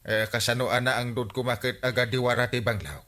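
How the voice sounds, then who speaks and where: An actor playing an excitable, easily flustered village captain in a radio comedy drama, stammering and exclaiming. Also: male voice, mid-range voice, quick pace, natural voice. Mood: bantering.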